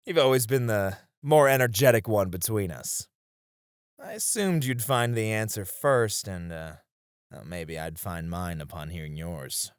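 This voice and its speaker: A man's voice: fairly low pitch, lively intonation, measured pace, smooth, dry recording, clean studio sound. A man playing a seasoned knight, a wistful, teasing sparring comrade in a romantic roleplay, with reflective, hesitant phrasing. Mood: pensive. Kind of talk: romantic ASMR roleplay acting.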